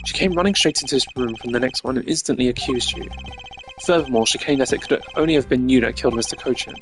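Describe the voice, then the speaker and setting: A man's voice: medium pitch, fast talker, a bit bright, a little hiss or hum, lightly acted. A man voicing a stern, cerebral investigator in a video game, speaking formally and precisely with dry sarcasm. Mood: measured, analytical. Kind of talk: hobby voice acting of a video game. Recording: music behind the voice.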